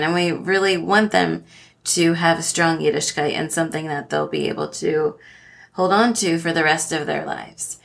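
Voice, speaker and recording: A woman speaking as a podcast host, earnest and polite in how she presents and asks questions. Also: fairly low pitch. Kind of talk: podcast introduction and interviewing.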